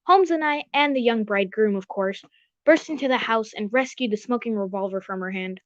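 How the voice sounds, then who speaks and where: A woman playing a genteel older gentleman doctor in an old-time radio drama, speaking formally and with old-fashioned measure. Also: a little high, fairly quick, no room sound, lo-fi sound, lightly acted. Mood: earnest. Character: Doctor Watson, genteel older gentleman narrator and loyal companion.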